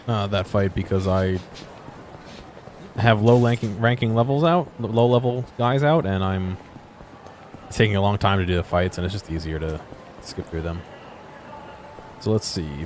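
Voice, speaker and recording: A man's voice: on the low side, darkish tone, a little hiss or hum. A man giving casual, unscripted video game exploration commentary, musing aloud and asking himself questions.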